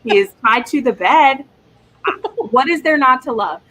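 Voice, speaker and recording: A woman's voice: sits high, lively intonation, measured pace, pushed and bright, rough recording. A woman hosting a casual group chat, gushing and teasing in slangy talk. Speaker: host of a romance readalong livestream.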